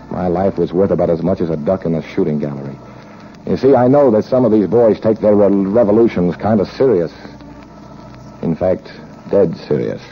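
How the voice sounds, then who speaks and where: An actor playing a cynical, money-hungry American gunrunner in 1940s radio drama, wry and wisecracking, with hard-boiled narration. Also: male voice, low-pitched. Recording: muffled, noisy 1940s radio recording.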